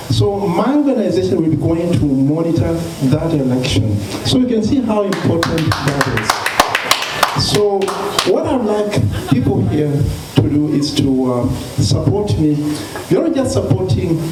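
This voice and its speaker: A man from Kenya with a Kenyan accent addresses a gathering as an organization president, speaking formally and earnestly with halting pauses. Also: a little high. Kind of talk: impromptu public speech. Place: echoey indoor dinner gathering. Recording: echoey hall, distant mic, crowd noise.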